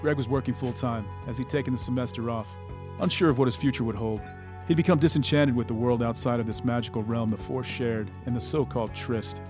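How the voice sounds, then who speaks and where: A man's voice: medium pitch, fairly quick, close to an everyday voice. A man narrating a scripted audio drama. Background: music.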